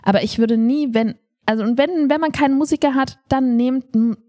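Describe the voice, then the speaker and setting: A woman's voice: high voice, a little fast, mellow. A woman chatting casually as a podcast co-host, emphatic and colloquial in giving her opinions. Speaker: podcast co-host and improv performer. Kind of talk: casual conversational podcast chat.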